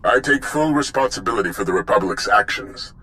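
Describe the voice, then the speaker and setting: Deep, forceful older military commander. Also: male voice, animated, brisk, poor audio. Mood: stern, determined. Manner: blunt, commanding. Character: stern, defiant older male military supreme commander. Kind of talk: cinematic video game acting.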